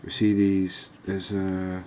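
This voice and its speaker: A man gives soft ASMR game commentary in a low, very even voice. He takes his time, sounding calm and reassuring. There is faint noise and ambience behind him. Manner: unhurried, calming, reassuring. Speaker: host of a cozy gaming channel.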